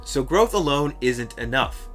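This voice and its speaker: A man narrates a scripted video essay in a bright, forceful voice. His delivery is wry and sarcastic, at a middling pitch. Soft guitar music plays faintly behind him. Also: expressive, unhurried.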